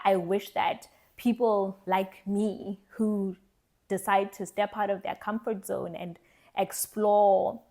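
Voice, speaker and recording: A young woman from South Africa giving a candid, reflective vlog monologue, earnest and thoughtful, often pausing and restarting her words. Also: medium pitch, darkish tone. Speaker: vlogger.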